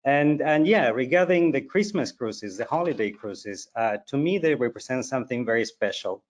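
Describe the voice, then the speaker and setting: A man from Argentina speaks over a video call. His voice sits at a middle pitch, and his delivery is heartfelt and a little halting, full of "you know" and restarts. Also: lively intonation. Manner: warm, emotional, many fillers and restarts. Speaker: company team member and guest. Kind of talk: heartfelt virtual event remarks.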